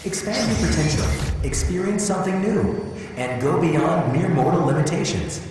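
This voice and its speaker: A woman's voice: lowish voice, expressive, moderate pace. A woman playing a cheery, polished corporate announcer in a game, making an upbeat promotional announcement over a public-address speaker. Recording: distant echoing hall announcement, noisy.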